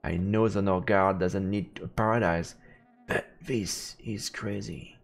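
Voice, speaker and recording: A man streaming a visual novel, reading the text aloud as measured, dramatic narration. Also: deep voice, steady tone, vocal fry, soft background music.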